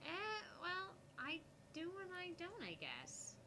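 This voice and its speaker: A woman's voice: extremely high pitch, wildly swooping pitch, takes it slowly, noisy background, pitched above the performer's own voice, heavily acted. A woman voicing a breezy, evasive young woman in a visual novel, casual and teasing with some hesitation.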